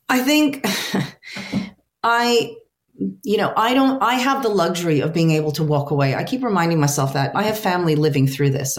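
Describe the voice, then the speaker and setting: Low-voiced woman, earnest and searching. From United States, Ukrainian family. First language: Ukrainian. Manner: earnest, searching for words, frequent restarts. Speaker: translator, interview guest. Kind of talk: reflective interview answers.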